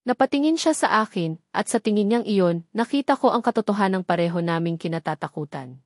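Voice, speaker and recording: A woman's voice: medium pitch, animated, brisk, no echo, clean studio sound. A woman with a Filipino accent narrating a dramatic story in the first person.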